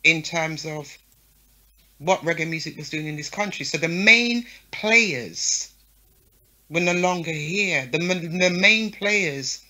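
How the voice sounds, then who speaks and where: A woman promoter talks frankly as an interview guest, often tossing in "right?" and "yeah?" to keep her listener with her. Her voice is bright and forceful. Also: low voice, slow pace. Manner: frank, lists names, checks in with "yeah?" and "right?". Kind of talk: casual interview talk.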